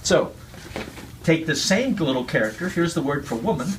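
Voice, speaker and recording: A man's voice: on the high side, animated, moderate pace, slight background noise, low-quality recording. A man with Cantonese roots giving an earnest, casual explanation as a guest speaker, often repeating words. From Chinese heritage, raised with Cantonese.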